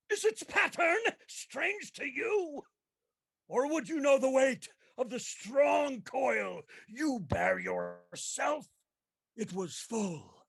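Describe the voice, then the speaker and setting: A tormented male ghost of a dead miser in a theatrical radio play. The voice is very high and creaky, dragging slowly through mournful declamations. It moans with remorse and stretches out its cries of woe. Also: a bit bright, heavily acted. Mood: grave, accusing. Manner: slow, declamatory, mournful, moaning drawn-out cries.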